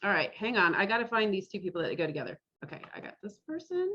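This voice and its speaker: A woman's voice: mid-range voice, sing-song, fast, bright, forceful tone. A woman casually hosting a live online event over a video call, with slightly dull call audio. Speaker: host of an online costume parade. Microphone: computer mic on a video call.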